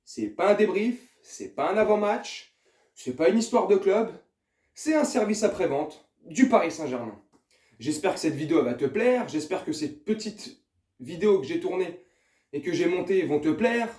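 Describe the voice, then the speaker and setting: A young man hosts his channel in a chatty, casual way, talking straight to the viewer and pausing on fillers. His voice sits fairly high. The recording has a roomy echo. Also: expressive, darkish tone. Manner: chatty, hesitant with fillers, addresses viewer directly. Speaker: YouTube host. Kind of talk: casual chat to camera.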